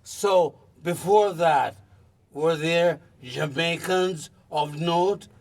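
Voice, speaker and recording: Slow, questioning male interviewer. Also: on the high side, darkish tone, recorded from a distance. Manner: probing, emphatic questions. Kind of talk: casual interview.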